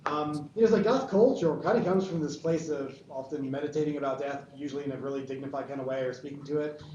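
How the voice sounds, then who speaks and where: A quick-talking man with a very mellow, slightly high voice explains his thoughts articulately in a casual discussion. The sound is muffled and echoey. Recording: dull, echoey, distant, faintly noisy.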